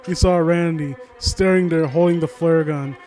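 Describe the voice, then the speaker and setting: A man with a fairly high voice does casual commentary and story reading. He is sardonic and informal, and trips over his words as he goes. Music plays faintly behind him. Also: steady tone, moderate pace, a little mellow. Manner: sardonic, mock-supportive asides, informal, stumbling over read lines. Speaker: host of a critique series reading a story aloud and commenting.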